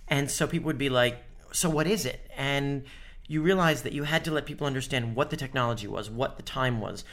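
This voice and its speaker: Man, mid-pitched co-creator, chatty and wry, explains with quoted back-and-forth. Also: fairly quick, a bit bright. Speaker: series co-creator. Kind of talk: casual interview.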